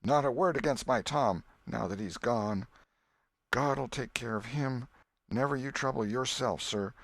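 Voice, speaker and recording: A man's voice: medium pitch, a little slow, fairly bright tone, no room sound, pitched above the performer's own voice. A man voicing an elderly aunt in an audiobook, fond, scolding and grieving, with a folksy, plaintive manner. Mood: stern. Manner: folksy, plaintive, repeating herself in lament.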